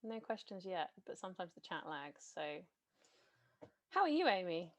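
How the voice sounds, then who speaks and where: A woman hosting a game on a video call, chatty and organising, her voice a bit hoarse from talking all day. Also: lowish voice, very animated, normal speed. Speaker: game master and host. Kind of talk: casual chat on a video call.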